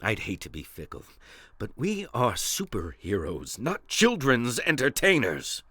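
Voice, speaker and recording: A noble, dutiful superhero in a comic radio serial. His voice is bright and forceful, with big swoops of pitch. Every phrase is grand, formal and full of self-importance. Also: huge pitch swings, measured pace, breathy edge, no echo, exaggerated voice. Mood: pompous. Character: earnest, pompous male superhero, noble and dutiful.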